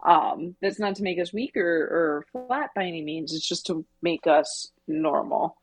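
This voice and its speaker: A woman co-hosting a podcast, talking through a story casually in a measured, reflective way. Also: lowish voice, no room sound. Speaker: podcast co-host. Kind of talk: casual book discussion.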